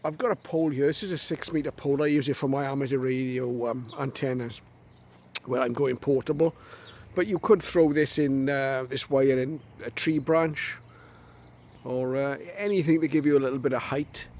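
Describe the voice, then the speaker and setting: A man giving an informal, unscripted hands-on demonstration as a radio hobbyist, explaining step by step in a halting way, recorded on a phone. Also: on the high side, a little mellow.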